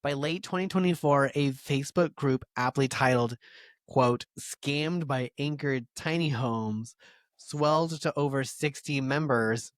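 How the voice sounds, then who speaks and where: A man co-hosting a podcast, chatting casually and reading from his notes with easy laughs. His voice is mid-pitched and sits in a dry, dead room. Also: unhurried, dry recording. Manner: conversational, laughs easily, reads quotes aloud. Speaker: podcast co-host. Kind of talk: casual chat reading a story.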